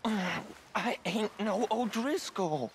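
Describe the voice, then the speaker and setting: An actor playing a young American outlaw captive in a video game, nervous, stammering and eager to appease. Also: male voice, sits high, lively intonation, drawn-out words, a little hiss or hum, exaggerated voice. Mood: anxious. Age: young adult. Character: frightened, pleading young male outlaw captive. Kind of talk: cinematic video game acting.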